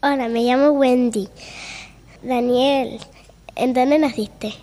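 A woman's voice: high voice, steady tone, takes it slowly, dark tone, long reverb tail. A woman interviewing guests with short, simple, scripted questions. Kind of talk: school radio interview.

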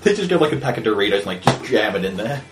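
A man co-hosting a crude comedy podcast, firing back quick joking replies and laughing. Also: lowish voice, big pitch swings, background noise.

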